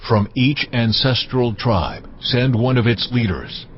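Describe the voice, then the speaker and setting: A man's voice: mid-range voice, takes it slowly, muffled recording, slight background noise, natural voice. A man playing God in a dramatised scripture production, stern, solemn and authoritative, speaking with great deliberation. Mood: solemn, commanding. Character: God, stern commanding divine male voice. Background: music.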